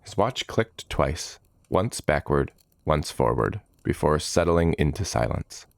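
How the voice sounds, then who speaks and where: A man narrating a scripted fantasy audiobook as storyteller, measured and dramatic in his delivery. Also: very deep voice, expressive, creaky, dry recording, studio quality.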